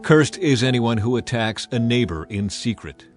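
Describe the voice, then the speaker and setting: A man voicing a solemn, commanding prophet addressing his people in a dramatized scripture reading, declamatory and deliberate. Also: mid-range voice, lively intonation, lightly acted. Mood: stern, condemning. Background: music behind the voice.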